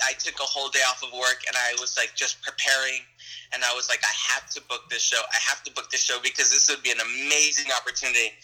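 Bright-voiced young man, chatty interview guest. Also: medium pitch, poor audio. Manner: friendly, rambling, laughs often, many restarts. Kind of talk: casual interview answers.

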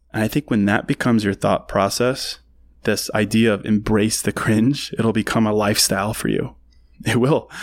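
A man's voice: fairly low pitch, creaky. An American man in his thirties hosting a podcast, giving a casual motivational monologue in a conversational, emphatic way, repeating phrases for effect. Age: 30s. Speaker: podcast host.

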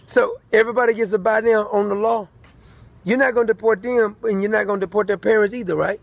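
A man's voice: high voice, fairly even intonation, fast talker, gravelly, dry recording. A man delivering a rambling political rant, repeating himself emphatically. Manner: repetitive, emphatic.

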